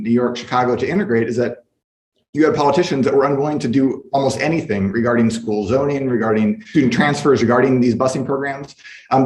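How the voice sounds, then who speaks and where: A man's voice: mid-range voice, darkish tone. Male professor in an echoey room. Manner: explanatory, academic. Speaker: professor, panelist. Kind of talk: analytical panel commentary. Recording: roomy, echoing sound.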